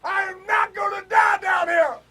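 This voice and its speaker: A man voicing a brash fighting man shouting at a monster in an audio drama, recorded over a noisy internet call. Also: very high voice, steady tone, normal speed, some chatter behind. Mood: panicked defiance.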